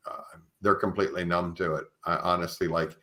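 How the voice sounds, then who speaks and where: A man's voice: low voice, steady tone, brisk, pushed and bright. A man hosting a conversational podcast interview, speaking tentatively and rephrasing his questions as he goes. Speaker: podcast host.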